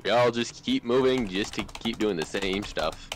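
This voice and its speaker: A man's voice: fairly low pitch, normal speed. A young man chatting casually on a gaming stream, easygoing and encouraging, offering friendly advice. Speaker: stream guest. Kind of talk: casual voice chat.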